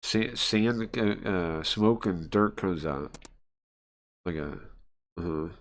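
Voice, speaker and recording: A man reading and translating a visual novel aloud on a stream in a halting, informal way, often searching for words and chatting along. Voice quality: low-pitched voice. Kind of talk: casual live read-through, translating and chatting.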